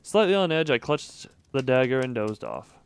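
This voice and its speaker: A man's voice: mid-range voice, animated, normal speed, vocal fry, clean tone. A man streaming a visual novel, reading the narration aloud and breaking off for casual asides and small laughs. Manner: reads narration evenly, breaks off for chuckling asides.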